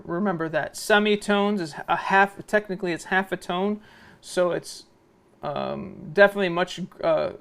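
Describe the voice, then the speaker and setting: A man with a noticeably high, smooth and clear voice narrates a software tutorial. He explains informally, step by step. He often tags his points with little checks for understanding. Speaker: video editing instructor.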